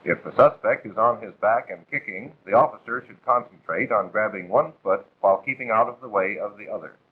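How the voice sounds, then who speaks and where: Through a thin, band-limited vintage film soundtrack comes an American man with a lowish, rough-edged voice. He reads scripted 1940s training narration, formal and authoritative, instructing step by step. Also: fairly quick, narrow, tinny audio.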